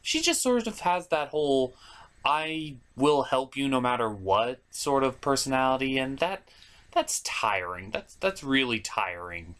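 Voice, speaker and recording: A man hosting a comedic review show, narrating a script in an animated, theatrical and exaggerated way with mock exasperation. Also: medium pitch, a little slow.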